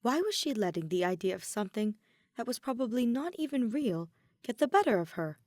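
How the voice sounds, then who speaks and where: A woman's voice: on the high side, no room sound. Woman reading a story in a dry close voice. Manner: measured, even storytelling. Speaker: fan fiction reader. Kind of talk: audiobook narration.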